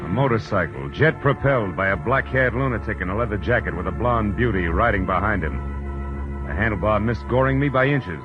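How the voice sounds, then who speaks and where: An American actor playing a wry, world-weary private detective in clipped 1940s radio style. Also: male voice, lowish voice, expressive, fairly quick, lightly acted. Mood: wry narration. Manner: wry, clipped, hardboiled delivery. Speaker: lead actor and narrator. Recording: dull old radio recording, music behind.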